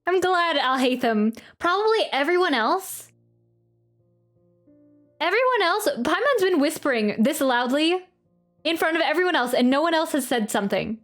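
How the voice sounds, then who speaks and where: Higher-voiced woman streaming a game. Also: lively intonation, moderate pace, a bit bright. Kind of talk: casual gameplay reaction and commentary. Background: game music.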